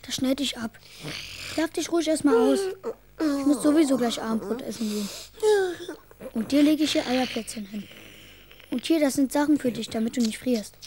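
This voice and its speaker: Woman as little kid boy, highish voice, chatty n earnest, kids audio drama. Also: moderate pace, long pauses, a crowd in the background, lightly acted. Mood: tender. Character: young boy Elias, small, imaginative, earnest, caring. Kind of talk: children's radio drama acting.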